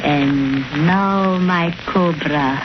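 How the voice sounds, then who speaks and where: A coldly menacing exotic villainess speaks slowly and commandingly, with a lowish theatrical voice. It is a dull, noisy 1930s radio drama recording. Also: female voice. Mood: cold menace.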